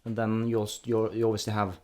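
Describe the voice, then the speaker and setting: A man's voice: on the low side, level tone, quick pace. A man hosting an instructional guitar video in a conversational interview style.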